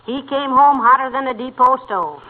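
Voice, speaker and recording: A woman plays a wry, patient housewife who gently scolds and teases. Her voice sits fairly high, in old radio comedy style. The recording is tinny and thick with noise. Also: normal speed, natural voice. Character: patient, dryly teasing middle-aged housewife, fondly deflating. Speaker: comic wife in a radio sitcom. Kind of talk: 1940s radio comedy acting.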